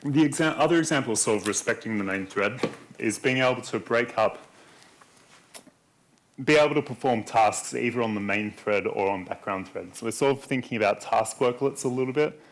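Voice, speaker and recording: A man's voice: medium pitch, brisk. A man giving a conference talk, steadily explaining code and live demos to an audience. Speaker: conference speaker. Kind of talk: technical conference talk walking through demos.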